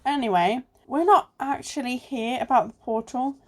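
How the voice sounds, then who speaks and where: A woman's voice: a little high, expressive, moderate pace, a little mellow. A woman playing a sensible, exasperated amateur sleuth in an amateur radio play, with a dry, sarcastic delivery. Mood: polite, businesslike. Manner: dry, sarcastic, matter-of-fact.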